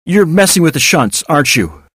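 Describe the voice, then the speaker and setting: A man's voice: a little high, very animated, normal speed, fairly bright tone, breathy edge, no room sound, voice pushed up, full character voice. A man voicing a trapped, weakening but defiant male hacker in audiobook dialogue, strained and emphatic.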